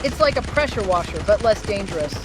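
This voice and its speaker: A woman's voice: medium pitch, big pitch swings, a little fast, natural voice. A woman voicing a cocky, boisterous kid fighter with a brash manner in a cartoon comic dub. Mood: boastful. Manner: brash, swaggering. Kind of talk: fan comic dub voice acting. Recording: very loud music and effects behind. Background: loud music.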